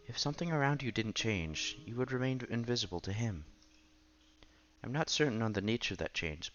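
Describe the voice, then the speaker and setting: Low-voiced man reading aloud, slightly muffled. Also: fairly bright tone. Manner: stumbles over words and rereads them. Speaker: visual novel reader, channel host. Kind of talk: reading a visual novel aloud. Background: background music.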